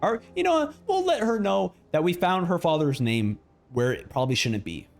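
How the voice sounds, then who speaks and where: A man gives casual live commentary on a game. His delivery swings up and down with lots of animation, and he often repeats himself. Soft music plays in the background. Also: sits high, big pitch swings, normal speed, creaky. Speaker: streamer reacting to a game.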